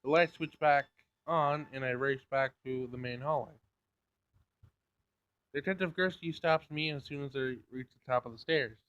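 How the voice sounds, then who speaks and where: A man's voice: mid-range voice, moderate pace, fairly bright tone, clear, resonant tone. Male gamer narrating in dull lo-fi audio. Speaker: gaming YouTuber reading a visual novel aloud. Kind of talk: dramatic read-aloud narration. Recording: dull lo-fi screen recording, game music behind. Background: faint game music.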